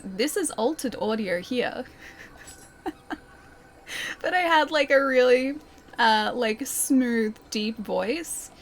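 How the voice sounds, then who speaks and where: A fairly high-voiced woman streams a game and chats casually, reading viewer comments aloud and answering them. She giggles often and fills her talk with "like" and "dude". A faint background noise sits under her voice. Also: creaky. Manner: chatty and giggly, with many fillers like "like" and "dude". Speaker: livestreamer.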